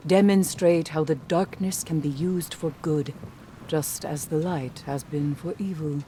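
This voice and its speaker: A woman playing a solemn, mysterious mentor in a video game, speaking in an earnest, measured way. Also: low-pitched, dark tone, a little hiss or hum. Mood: solemn, urging. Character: solemn, mysterious mentor urging use of darkness. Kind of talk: dramatic video game voice acting.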